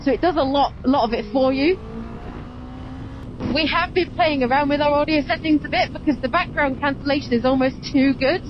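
A woman's voice: high voice, brisk. Motovlog host: woman, casual and explanatory, dull helmet-mic sound with engine and road noise behind. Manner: chatty, explanatory. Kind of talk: casual vlog chat while riding. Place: on a motorbike on open roads. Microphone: helmet intercom mic.

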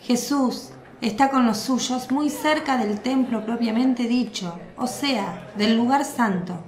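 Woman narrator for a radio drama, higher voice, echoey room with faint hiss. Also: slow.

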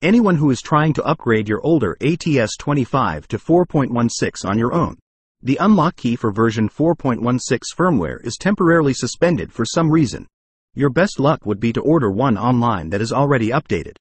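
Guy reading a scripted gadget review, bit raspy, up and down pitch, really dry sound. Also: lively intonation, normal speed, dry recording. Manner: formal, read-aloud explanatory delivery. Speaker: product review narrator. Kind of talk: scripted product review narration.

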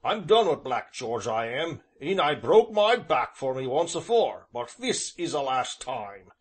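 A man's voice: mid-range voice, big pitch swings, unhurried, breathy edge. A man voicing a stubborn, surly blacksmith's striker who growls in a rural southern English dialect and drops his h's. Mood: sullen, defiant.

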